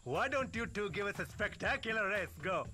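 A bossy cartoon lemur monarch. The voice sits high and swings theatrically from line to line, full of grand self-regard, with game music underneath. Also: male voice, animated, a little fast, sharp tone. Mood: bossy, self-important. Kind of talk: animated video game acting.

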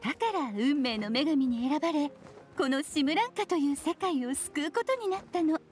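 A woman playing a playful, mysterious, self-assured witch in an anime video game, with a teasing, unhurried delivery. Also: high voice, animated, a little mellow, faint music behind. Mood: serene explaining.

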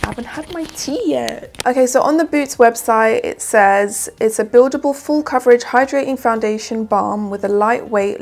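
Chatty young woman with a croaky morning voice. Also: a little high, darkish tone. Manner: chatty, rambling, addresses viewers as guys. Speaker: beauty vlogger. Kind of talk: casual makeup review talking to camera. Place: plain indoor room with plants.

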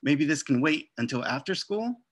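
A man's voice: on the high side, animated, normal speed, breathy edge. A man voicing a young girl who loves bubble gum, pleading and dramatic, over a video call.

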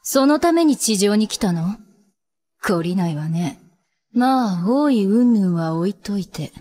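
A woman's voice: medium pitch, animated, measured pace, dark tone. A woman voicing a cold, merciless teenage princess in an anime, imperious, taunting and grandiose. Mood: dismissive. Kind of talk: anime voice acting.

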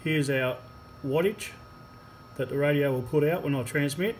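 A man explaining a hands-on radio repair as a technician, unscripted, with a halting delivery and long pauses. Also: fairly high pitch. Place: indoor workbench in a repair workshop. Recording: clear background noise, workshop ambience. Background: workshop room noise.

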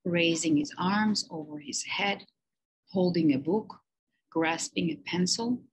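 A teacher reading a children's picture book aloud, slow and expressive with emphatic pauses. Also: female voice, lowish voice, slight background noise, poor audio.

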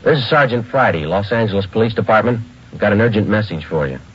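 A clipped, deep-voiced detective. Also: male voice, fast, breathy edge, dull audio. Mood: grave. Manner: clipped, flat, matter-of-fact. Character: terse male police detective sergeant. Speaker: narrator and lead detective. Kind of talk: 1940s radio drama acting. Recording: hissy old radio broadcast.

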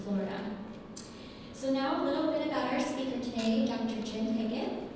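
A young woman reads a formal introduction at a podium microphone in a measured voice. Her fairly high voice echoes around a large hall, picked up from a distance with noticeable background noise. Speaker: event host introducing the speaker. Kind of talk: read introduction. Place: large hall with arched windows. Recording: echoey hall, far from the mic.

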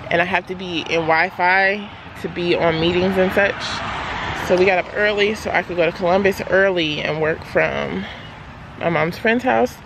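A young woman chatting casually to a vlog camera, sounding very tired, her voice sunk lower than usual. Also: normal speed, gravelly. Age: young adult. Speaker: vlogger, PhD graduate. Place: outdoors by a house and in a car. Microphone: handheld camera at arm's length. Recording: noisy handheld camera outdoors and in car.

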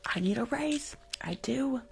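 A young woman playing a rude, weary school nurse in an ASMR roleplay, grumbling in a breathy whisper close to the mic. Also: fairly high pitch, lively intonation, measured pace, full character voice. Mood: exasperated. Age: young adult. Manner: sassy, grumbling, rambling. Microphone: close foam-covered microphone on desk.

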